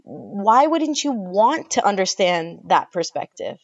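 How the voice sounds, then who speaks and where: A woman's voice: mid-range voice, sing-song, takes it slowly, no echo. A woman academic, a guest on a podcast, making her case earnestly through a string of rhetorical questions. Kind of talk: conversational podcast interview. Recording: dry, dull lo-fi remote audio.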